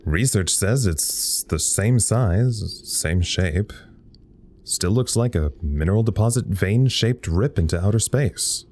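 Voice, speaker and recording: Bored, mellow lab communications officer. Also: male voice, on the low side, expressive, takes it slowly. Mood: bored, wry. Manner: wry, rambling, hesitant. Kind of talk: naturalistic audio drama acting.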